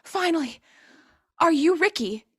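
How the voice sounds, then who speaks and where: A woman playing a bossy, sharp, impatient rescue team member in a comic audio play, commanding and exasperated. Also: extremely high pitch, lively intonation, unhurried, no room sound. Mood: brisk, dry.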